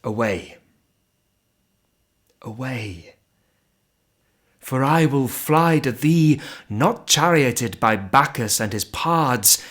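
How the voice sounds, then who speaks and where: A man giving a solemn, expressive poetry recitation in measured phrases with pauses between them. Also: lowish voice. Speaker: poetry reader.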